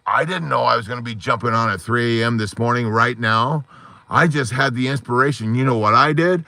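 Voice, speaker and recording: Fervent hoarse man, bright and dry. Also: medium pitch, fairly quick, dry recording. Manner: fervent, rambling pep talk full of metaphors. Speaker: support group host. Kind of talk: motivational live stream talk. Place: inside a parked car at night.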